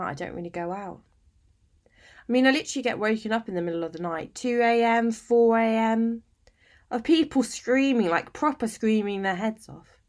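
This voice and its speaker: A woman's voice: medium pitch, close to an everyday voice. A woman with a British accent acting a conversational monologue as a lonely, eccentric, wryly matter-of-fact woman, chatty and self-deprecating. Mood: anxious, weary. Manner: chatty, colloquial, self-deprecating, tag questions. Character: lonely, sleep-deprived, eccentric woman, wryly matter-of-fact about her bleak life. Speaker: monologue performer. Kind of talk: confessional dramatic monologue, conversational.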